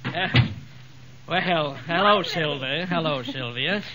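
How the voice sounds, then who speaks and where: An actor playing a folksy, wisecracking small-town husband in a 1940s radio comedy, droll and teasing. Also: male voice, on the high side, expressive, slow, a crowd in the background. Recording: dull vintage radio, audience noise behind.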